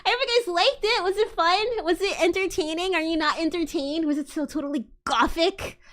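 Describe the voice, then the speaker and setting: High-pitched, giggly woman livestreamer. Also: a little fast, fairly bright tone. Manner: giggly, rambling, slangy. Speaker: livestream host. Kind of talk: casual chat to a livestream audience.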